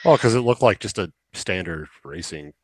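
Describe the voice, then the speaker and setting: A man co-hosting a video game show, chatting casually in a halting way with joking exaggeration. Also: low voice, lively intonation, no echo. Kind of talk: casual chat.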